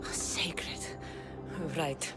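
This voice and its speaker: An actress playing a blunt, sardonic young mercenary warrior of ancient Greece in a dramatic video game, questioning people bluntly. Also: female voice, drawn-out words, whispered. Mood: cold, accusing. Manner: blunt, probing. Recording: loud music behind the voice.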